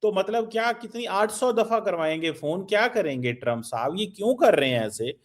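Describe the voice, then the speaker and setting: This is a man hosting a political talk show, his voice sitting high for a man. He asks pointed, sarcastic questions and keeps prodding his guest. He talks like a regular host who is used to pushing for answers. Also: animated, normal speed. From Pakistan.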